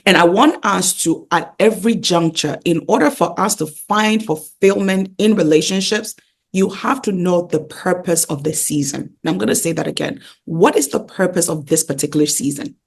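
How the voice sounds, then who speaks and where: Gravelly, husky woman teaching. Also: lowish voice, normal speed. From Ghana. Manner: emphatic, repeats key phrases, rhetorical questions. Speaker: interview guest, pastor and wellness coach. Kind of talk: conversational teaching.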